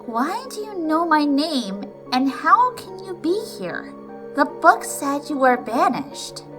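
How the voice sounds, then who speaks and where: Woman as a very high-pitched earnest cartoon pony. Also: big pitch swings, takes it slowly, music in the background, exaggerated voice. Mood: alarmed. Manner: dramatic, earnest. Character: earnest, bookish young female cartoon pony, easily shocked. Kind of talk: cartoon comic dub acting.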